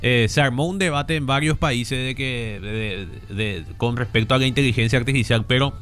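A man's voice: mid-range voice, normal speed, a bit bright, soft background music. A man, hosting a casual radio chat and often murmuring along as others talk. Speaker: radio host.

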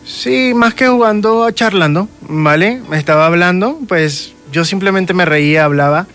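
A man's voice: high-pitched, a little slow, a bit bright, faint music behind. A man with a Venezuelan accent, a guest telling personal stories conversationally and often checking that the listener follows. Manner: frequent check-in tags. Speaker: guest, self-described medium.

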